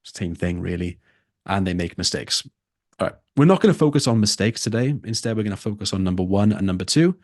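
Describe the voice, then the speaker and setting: A man's voice: low voice, animated, brisk, a little mellow, dry recording, studio quality. A man who tutors for a test, teaching a live online lesson and repeating his key points for emphasis.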